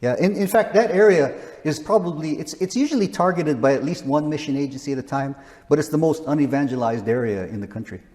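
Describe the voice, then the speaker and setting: A man's voice: fairly high pitch, lively intonation, quick pace, a little mellow, in a large hall. A man in his sixties, a missionary, talking casually in an interview with frequent restarts. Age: 60s. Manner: rambling, frequent restarts and chuckles.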